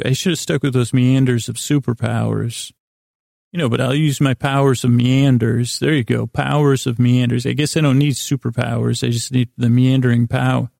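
A man hosting a bedtime podcast in a soothing, meandering, halting monologue with gently silly asides. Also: medium pitch, creaky, no room sound, clean studio sound. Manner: soothing, lulling, mildly silly. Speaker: podcast host.

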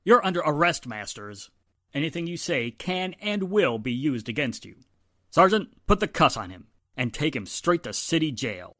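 Animated, authoritative male police inspector acted. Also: a little high, normal speed, no echo, clean studio sound. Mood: commanding. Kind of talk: 1940s-style radio drama acting.

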